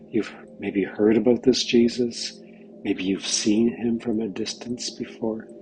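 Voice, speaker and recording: Slow, low-voiced man leading meditation. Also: slight background noise, low-quality recording. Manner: gentle, unhurried, pausing mid-phrase. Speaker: guided meditation leader. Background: background music.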